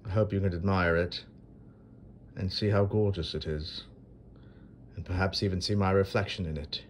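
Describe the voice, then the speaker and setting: Low-voiced dry man narrating a coin unboxing. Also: fairly even intonation, a little hiss or hum. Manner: dry self-deprecating, unhurried with pauses. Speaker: coin video host. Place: indoors at a marble tabletop. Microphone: phone camera held over a table.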